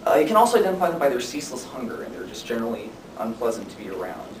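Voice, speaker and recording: A young man giving an informative student speech in a conversational way with deadpan humor. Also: rapid-fire. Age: young adult. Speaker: student speaker. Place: classroom. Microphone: distant camera microphone. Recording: distant mic, echoey room, noisy rumble.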